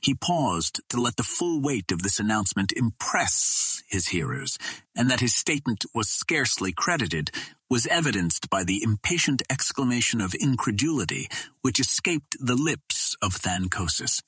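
A man narrating a classic adventure novel in a measured, formal storytelling way. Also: medium pitch, big pitch swings, pushed and bright, slightly rough, dry recording. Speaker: audiobook reader.

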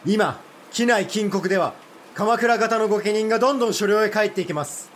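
Casting: proud, outspoken samurai, notably high voice, formal archaic delivery, period audio drama, faint background noise. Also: male voice, a little fast, fairly bright tone. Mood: frank. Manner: formal archaic warrior speech, forthright. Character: blunt, proud provincial samurai lord, openly indignant. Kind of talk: Japanese historical audio drama.

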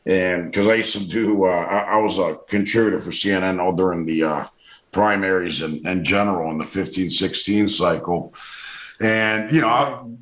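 A man with a loud, forceful way of talking, speaking in a casual interview with frequent restarts and fillers. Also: low-pitched, moderate pace, reverberant. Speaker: political commentator.

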